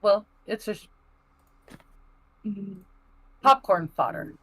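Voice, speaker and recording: A woman on a podcast panel chatting casually, halting as she searches for words. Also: mid-range voice, lively intonation, fairly bright tone, slightly rough, dry recording, low-quality recording. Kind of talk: casual chat.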